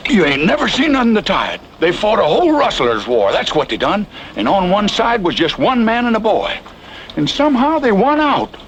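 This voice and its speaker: A man's voice: high voice, big pitch swings, moderate pace. A man playing a folksy, blustery cowhand in an old-time radio western, with rustic speech and big emphasis. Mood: folksy, admiring. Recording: noisy old radio recording.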